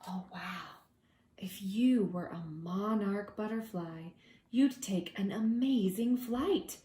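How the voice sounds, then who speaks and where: A woman reading aloud to preschoolers as their teacher, expressive and gentle, drawing out words and adding conversational asides. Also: mid-range voice, reverberant. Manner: gentle, deliberate, drawing out words for young listeners.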